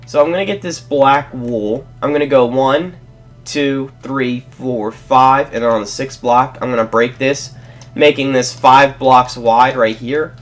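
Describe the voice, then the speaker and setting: A man's voice: medium pitch, steady tone, unhurried, soft background music. Congested young man rambling through a build. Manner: rambling, self-correcting, apologetic. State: congested from a cold. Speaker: Let's Play host. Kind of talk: casual building tutorial commentary.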